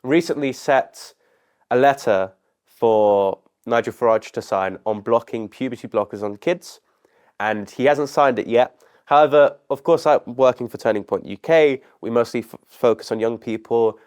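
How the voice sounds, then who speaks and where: A man's voice: mid-range voice, normal speed, darkish tone, clean tone. A man interviewing guests about politics, putting long questions to them.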